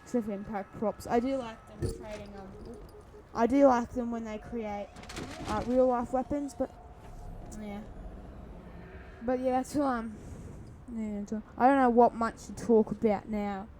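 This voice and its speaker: A woman's voice: fairly high pitch, steady tone, a little slow, dark tone. A woman giving casual, unscripted gaming commentary, rambling hesitantly and trailing off. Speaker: gaming video creator. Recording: clearly noisy background.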